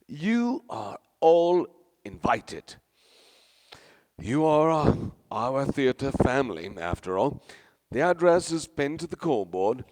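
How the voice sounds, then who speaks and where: A man's voice: mid-range voice, very animated, slow pace, a little mellow. An actor playing a grandiose, florid theatre man addressing his company in a radio play, with theatrical declamation. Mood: grand, gracious. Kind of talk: radio play acting, speech to a cast. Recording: long hall reverb.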